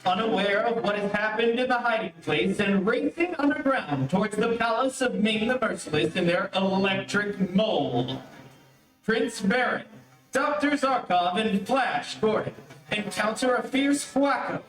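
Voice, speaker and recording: A man's voice: sits high, expressive, a little slow, fairly bright tone, faint music behind. A man reading scripted narration for an old-time radio adventure serial. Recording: very echoey, distant microphone.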